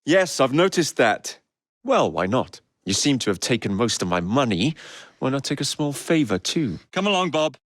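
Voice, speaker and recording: An actor playing a cocky, roguish young Victorian gang leader in a video game, with an English accent and a cheeky, theatrical, teasing way of speaking. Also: male voice, mid-range voice, sing-song, moderate pace, no echo, clean studio sound. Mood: teasing, assertive. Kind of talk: dramatic video game dialogue lines.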